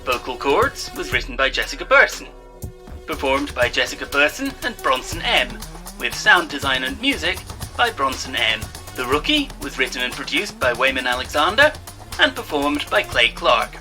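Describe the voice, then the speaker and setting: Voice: mid-range voice, very animated, normal speed, music in the background. A performer playing a late-night radio host, reading a scripted sign-off and credits in a theatrical announcer manner. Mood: showy, courteous.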